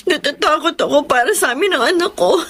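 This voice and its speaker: A woman's voice: high-pitched, animated, fast, a bit bright, a little hiss or hum. An actress playing a scared grown daughter who is crying through her words in a radio drama. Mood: tearful. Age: adult. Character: frightened grown daughter, tearful.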